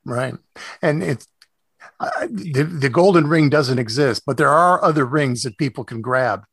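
A man's voice: medium pitch, measured pace, creaky, a bit bright, breathy edge, no echo, clean studio sound. A man hosting a casual interview, feeling his way through sentences with repeated starts. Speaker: radio show host.

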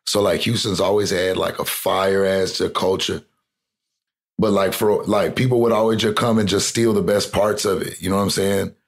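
A man's voice: deep voice, fairly even intonation, normal speed. A young man from South Texas, a guest in a casual interview, rambling with lots of "like" fillers and swearing.

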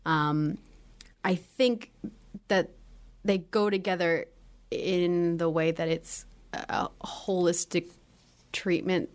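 Chatty woman yoga teacher, lowish voice. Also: steady tone, slow, pauses often. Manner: laughs often, self-corrects. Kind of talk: casual podcast chat.

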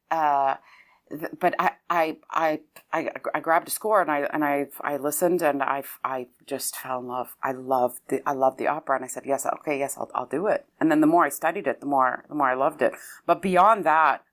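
A woman's voice: low-pitched, fairly even intonation, normal speed. A Lebanon-born woman, an opera singer whose first language is Arabic, talking thoughtfully in an interview and often restarting her sentences. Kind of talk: conversational interview.

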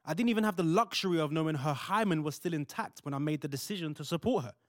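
Man acting earnest young fiancé, lightly pitched, dry sound. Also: quick pace, no echo, lightly acted. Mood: earnest persuasion. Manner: earnest, colloquial. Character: Joseph, earnest young husband-to-be, devout and persuasive. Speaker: actor in audio play. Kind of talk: modern audio drama dialogue.

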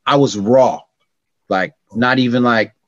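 A middle-aged man from California telling stories in a casual interview, slangy and animated, acting out quotes in a raised voice. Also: mid-range voice, unhurried, dry recording, highs cut off. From California, United States. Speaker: podcast guest.

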